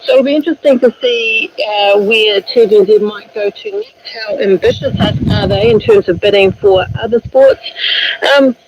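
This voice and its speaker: A woman's voice: medium pitch, normal speed, a little mellow. A woman with a New Zealand accent presents sports news in broadcast style. Her voice comes out of a radio, with a light layer of noise around it. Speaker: sports reporter. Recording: faintly noisy shortwave radio playback.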